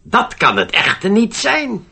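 An actor playing a small mischievous, nagging gnome in a radio play. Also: male voice, high-pitched, huge pitch swings, takes it slowly, vocal fry, pushed and bright, husky, full character voice. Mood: needling, reproachful.

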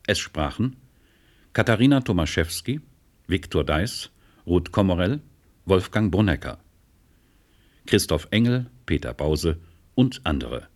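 A male radio announcer reads credits in a formal, scripted style. His voice is very deep and his pace is slow and deliberate. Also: sing-song.

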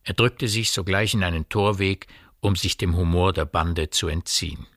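A man's voice: low-pitched, moderate pace, a bit bright, dry recording, clean studio sound. A man giving scripted literary narration as the storyteller of a radio drama.

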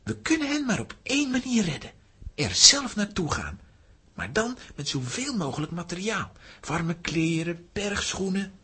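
A woman playing an earnest, resourceful young adventurer in a children's audio drama, speaking emphatically with halting repetitions. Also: on the low side, very animated, a bit bright, husky, heavily acted. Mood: determined.

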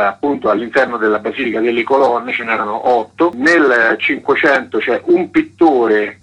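A man's voice: medium pitch, bright, forceful tone, breathy edge. A man, an author being interviewed on radio, explaining things with enthusiasm and emphasis over a tinny link. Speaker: interviewed author and researcher of Rome's monuments.

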